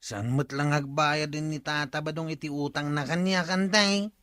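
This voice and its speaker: Actor as nagging, impatient village creditor. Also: male voice, fairly high pitch, fairly even intonation, moderate pace, bright, forceful tone, no echo. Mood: pleading. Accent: Ilocano. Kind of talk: Ilocano radio comedy drama acting.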